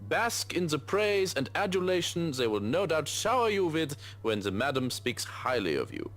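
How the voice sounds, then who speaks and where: Imperious German butler, stern and suspicious, highly animated intonation, visual novel game. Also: male voice, moderate pace. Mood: stern warning. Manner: clipped, imperious, scolding.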